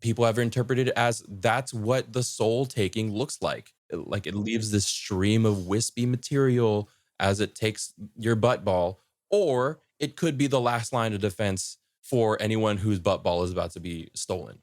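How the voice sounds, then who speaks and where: Lowish guy explaining stuff on a podcast, thoughtful and halting, really dry room. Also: no room sound. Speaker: podcast speaker. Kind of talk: casual explanatory chat.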